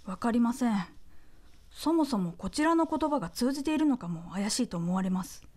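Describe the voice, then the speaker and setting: A woman playing a composed, courteous royal envoy in a radio drama, with very polite, measured, deferential speech. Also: fairly high pitch, lively intonation, a little mellow. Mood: puzzled deference. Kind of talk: radio drama acting, formal diplomatic speech.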